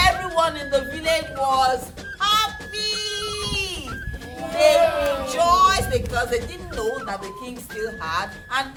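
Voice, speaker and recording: Loud music plays around a slightly distant voice. A Nigerian woman tells a folktale to children in a high, swooping voice. Her delivery is dramatic and interactive, like an educator drawing young listeners in. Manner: animated, dramatic, asks listeners questions.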